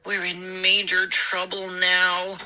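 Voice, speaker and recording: An excitable young boy from an audiobook, acted out by a woman. The voice is piercingly bright and jumps well above the narration. The delivery is earnest, urgent and pleading. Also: mid-range voice, a little slow, breathy edge, voice pushed up, exaggerated voice. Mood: alarmed. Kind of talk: audiobook character dialogue.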